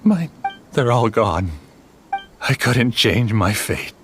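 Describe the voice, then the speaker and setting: A man's voice: mid-range voice, big pitch swings, slow pace, background noise. A man voicing a despairing, resigned scholar in a video game, weary and downcast. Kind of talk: scripted video game drama. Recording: game music behind the voice.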